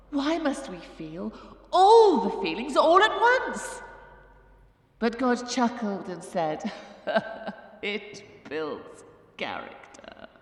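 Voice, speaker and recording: A woman's voice: on the high side, fairly bright tone, full character voice. An actress playing an indignant churchwoman delivering a theatrical mock-biblical sermon monologue from a pulpit. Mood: wry, indignant. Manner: theatrical, grandly declamatory, deliberate. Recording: echoey church-like hall reverb.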